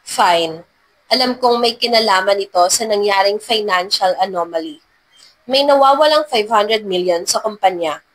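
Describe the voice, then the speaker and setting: A woman's voice: medium pitch, normal speed, fairly bright tone, lightly acted, rough recording. A woman voicing a wealthy male CEO in a romance story, earnest and apologetic, mixing in English phrases. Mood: serious. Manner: earnest, code-switches into English. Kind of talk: dramatized romance story narration.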